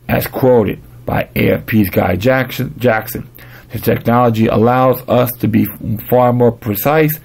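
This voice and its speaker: Male podcast host with a dry, creaky voice. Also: medium pitch, a little mellow. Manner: halting reader, stumbling over words and repeating phrases. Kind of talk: reading news articles aloud with casual commentary.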